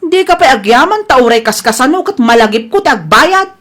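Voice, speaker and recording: An actress plays a brazen woman debtor in a comedy radio drama. She has a high voice and an Ilocano Filipino accent, and she talks back defiantly. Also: expressive, fairly quick, a bit bright.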